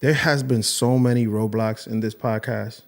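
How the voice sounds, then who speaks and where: A man's voice: fairly low pitch, fairly even intonation, normal speed, creaky. A young man hosting a podcast, speaking earnestly and emotionally in a rambling casual chat with many restarts. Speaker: podcast host.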